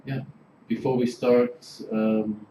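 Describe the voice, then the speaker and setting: Man from Greece, 40s, casual hotel-trainer delivery, fillers; boomy room, background noise. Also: fairly even intonation, takes it slowly, mellow. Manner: chatty, informal, filler-heavy. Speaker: hotel general manager giving a workshop. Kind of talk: relaxed presentation to a class. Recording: roomy, noisy room recording.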